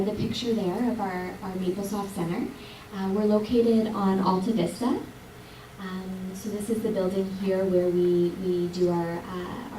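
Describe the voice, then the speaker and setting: A soft-spoken woman from a cancer charity giving a community presentation, hesitant, with many uhs. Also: medium pitch, a little hiss or hum. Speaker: charity representative. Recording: distant mic in a roomy hall.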